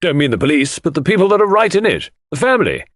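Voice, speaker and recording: A man voicing a gruff, opinionated woman in an audiobook, speaking in blunt, clipped phrases. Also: fairly high pitch, sing-song, fast talker, fairly bright tone, dry recording, studio quality, voice pushed up. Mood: emphatic.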